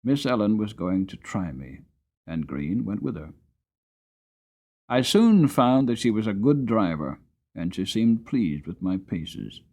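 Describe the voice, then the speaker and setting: A man giving a dramatic storybook narration as an audiobook reader, expressive and deliberate. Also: lowish voice.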